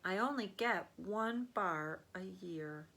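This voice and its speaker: A young storybook boy, sad and resigned, voiced by a woman reader. He sounds subdued and downcast, speaking gently in a clear female register. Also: mid-range voice, expressive, slow, exaggerated voice.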